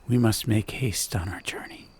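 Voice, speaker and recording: A man's voice: fairly low pitch, moderate pace, a little mellow, slightly rough. A man voicing an earnest, courteous adventurer who speaks in a formal, resolute way in a storybook. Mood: urgent.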